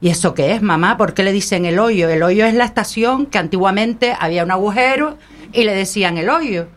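A woman with a Canary Islands accent speaks earnestly as a panel guest. Her voice sits in a middle range and her delivery is lively. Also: mid-range voice, fairly quick. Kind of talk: casual panel chat.

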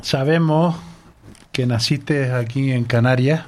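A man interviewing a guest, asking long questions with many pauses and restarts. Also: medium pitch, a little mellow. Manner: hesitant, with many fillers and restarts.

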